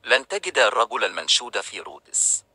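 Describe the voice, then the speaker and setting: A man's voice: fairly low pitch, animated, brisk, bright, forceful tone, no echo, exaggerated voice. A man voicing a learned king in a storybook cartoon, direct and businesslike.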